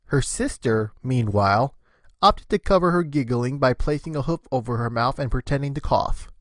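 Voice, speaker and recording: A man's voice: medium pitch, animated, normal speed, fairly bright tone, no echo, studio quality. A man giving scripted storybook narration of a cartoon fan fiction.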